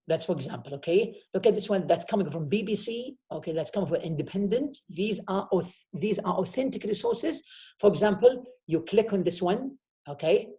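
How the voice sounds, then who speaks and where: A man's voice: sits high, mellow. A man lecturing online, explaining step by step and checking in with "okay?" after nearly every phrase. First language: Arabic. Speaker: university professor. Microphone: video call.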